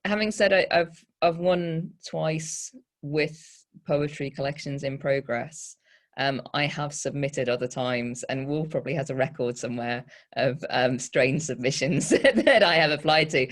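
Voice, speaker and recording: A woman writer talking off the cuff on a panel, with a halting, tentative delivery. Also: low voice. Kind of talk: spontaneous panel talk.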